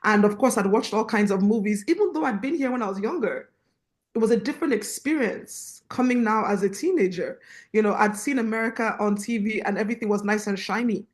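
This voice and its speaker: A young woman from Nigeria with a Nigerian accent, a guest in a casual chat, telling stories in an animated way with asides. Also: mid-range voice, brisk. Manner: animated storytelling with laughs and asides. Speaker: guest, youth pastor.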